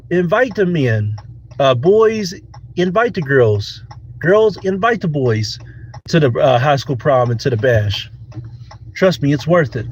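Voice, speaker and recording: Man in his 30s, podcast host, rambling and repetitive, fairly high voice, loud traffic behind. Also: lo-fi sound. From United States. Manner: rambling, repetitive, many fillers and restarts. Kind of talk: casual solo podcast monologue.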